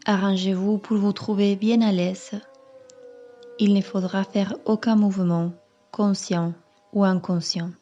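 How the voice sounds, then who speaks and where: A woman yoga teacher calmly leading a guided meditation in measured, instructing phrases. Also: medium pitch, lo-fi sound, soft background music. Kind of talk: slow guided meditation narration.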